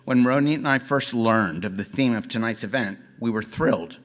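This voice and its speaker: A middle-aged man giving a formal, steady prepared speech to guests at a gala. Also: medium pitch, normal speed. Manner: formal, steady, dignified delivery.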